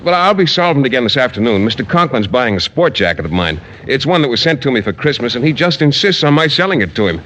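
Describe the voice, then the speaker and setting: An actor playing an earnest, naive, hesitant biology teacher in a 1940s radio comedy. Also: male voice, medium pitch, very animated, fast, few pauses, a bit bright. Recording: slightly noisy 1940s radio recording.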